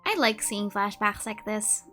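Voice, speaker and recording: A woman's voice: mid-range voice, steady tone, measured pace, bright, forceful tone. A young woman giving casual let's play commentary as a gaming streamer, reactive, playful and joking. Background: faint game music.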